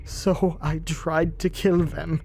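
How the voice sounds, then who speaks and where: A man's voice: a little high, fairly even intonation, takes it slowly, vocal fry, a little mellow. A man voicing a troubled young skeleton monster in a comic dub, hesitant, brooding and full of guilt. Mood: anguished. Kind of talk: amateur comic dub voice acting. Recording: music bed under the voice.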